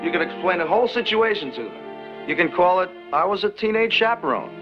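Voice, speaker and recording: A man's voice: high-pitched, lively intonation, fast, over music. A smug, teasing young college man. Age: young adult. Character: cocky teasing young male college student. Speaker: college student. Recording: dull 1960s film soundtrack.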